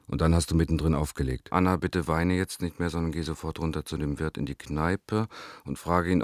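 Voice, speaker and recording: An actor in a radio play voicing a melancholy, tender lover who reads his letter intimately and deliberately. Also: a bass voice, darkish tone, no room sound, clean studio sound. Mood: tender, soothing.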